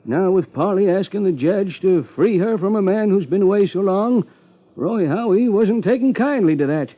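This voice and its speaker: A man's voice: sits high, expressive, moderate pace. An actor playing a folksy, gossipy rural American lawman with a homespun drawl in a 1950s radio drama. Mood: matter-of-fact. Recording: muffled 1950s radio recording.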